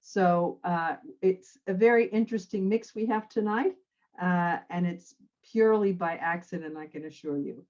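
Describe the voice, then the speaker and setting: A middle-aged woman psychologist from French Canada and the United States talks in a rambling, self-correcting way. Her tone is dark and mellow, and the audio is a little dull. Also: on the low side, normal speed. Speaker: psychologist guest. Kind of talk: casual panel chat.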